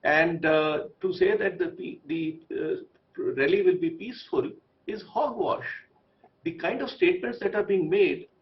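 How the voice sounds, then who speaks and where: A man with an Indian accent commenting on a live TV debate as a diplomat panelist, emphatic, with halting phrasing. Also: fairly high pitch, dull audio, a little hiss or hum. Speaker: former ambassador as panelist.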